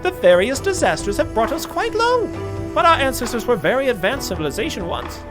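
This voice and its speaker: A man's voice: very high voice, sing-song, brisk, music in the background, voice pushed up. A man voicing a proud, solemn elder of a sea people in a video game, speaking formally and grandly. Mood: solemn, explanatory.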